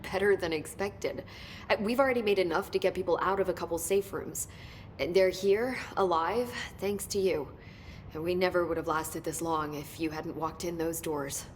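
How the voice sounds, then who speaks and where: A woman's voice: normal speed, natural voice. An actress playing an eager, precise, nerdy woman scientist in a video game. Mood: keen, excited. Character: eager, earnest woman scientist, brainy and intense. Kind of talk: naturalistic video game acting, technical explanation. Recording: faint rumbling background noise.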